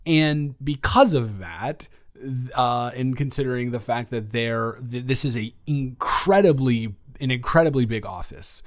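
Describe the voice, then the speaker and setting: A man playing a friendly, flustered office boss in an improvised roleplay audio, talking to a new intern in a halting, rambling way with stammered restarts. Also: mid-range voice, lightly acted. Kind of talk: improvised romantic roleplay audio, addressed to the listener.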